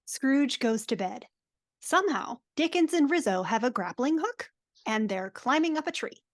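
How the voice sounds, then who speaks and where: Fairly high-voiced woman narrator. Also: animated, normal speed. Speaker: narrator reading stage directions. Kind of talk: scripted narration in a live dramatic reading.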